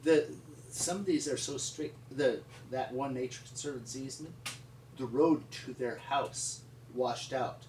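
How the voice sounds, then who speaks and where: An American man telling stories in an unscripted, conversational way, with a rambling, halting delivery. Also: medium pitch, a little mellow. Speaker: paleontologist and museum founder telling stories. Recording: echoey, distant mic with background noise.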